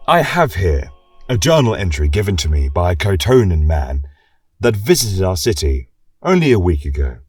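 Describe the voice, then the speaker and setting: A husky, learned chronicler, acted by a man delivers a formal lecture on his fantasy kingdom. His delivery is deliberate, proud and wistful, with wide swings of pitch. The voice sounds distant and roomy, with music behind it. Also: very animated, darkish tone, full character voice. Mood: proud, measured. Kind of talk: scripted fantasy lecture narration.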